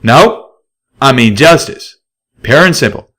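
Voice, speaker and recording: A man's voice: medium pitch, lively intonation, takes it slowly, sharp tone, voice pushed up, full character voice. Man doing a drawling country stallion. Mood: blunt. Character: gruff country stallion pegasus, blunt and steady.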